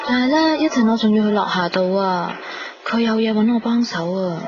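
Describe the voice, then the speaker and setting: An actress playing a wistful, guarded young woman in love in a radio drama, soft and introspective, with a Hong Kong accent. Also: fairly high pitch, brisk, background noise, close to an everyday voice. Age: young adult. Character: wistful, guarded 22-year-old daughter in love. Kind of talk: radio drama acting and narration. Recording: dull 1990s radio recording under music. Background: guitar music.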